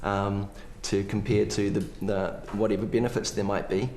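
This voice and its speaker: A man's voice: fairly low pitch, level tone, moderate pace, a little mellow. A man answering an interview question hesitantly, searching for words. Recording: echoey room with faint noise.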